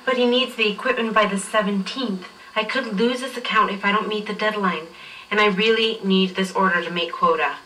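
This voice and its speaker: A woman acting as a smooth-talking, pushy saleswoman in a workplace training drama. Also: mid-range voice, brisk, sharp tone, a little hiss or hum, lightly acted. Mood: pressing. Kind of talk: acted corporate training drama. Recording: distant, re-filmed off a TV screen.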